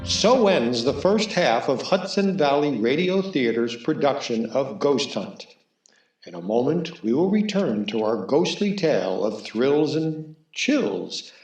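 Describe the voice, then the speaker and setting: A man serves as the announcer of a radio theatre show. His voice is medium in pitch and his delivery is scripted and theatrical. The recording has a clear room echo around him. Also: sing-song, unhurried, a little mellow, soft background music. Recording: roomy echo on the voice.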